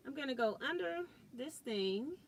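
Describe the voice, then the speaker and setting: Loud chatty lady sewing on a live stream, higher voice, laptop mic a few feet away, bit of hiss. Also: expressive, measured pace. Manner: chatty, thinks aloud while working, chuckles often. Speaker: quilting and sewing livestream host. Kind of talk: casual live demo chat. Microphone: built-in laptop mic about four feet away.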